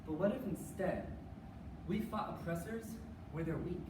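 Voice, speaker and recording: A woman's voice: lowish voice, animated, normal speed, a little mellow. A young adult woman delivering a rehearsed dramatic readers theater speech as one of a student team. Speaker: readers theater performer on a college forensics team. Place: indoor room, live stage performance. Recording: echoey room from far off, noisy.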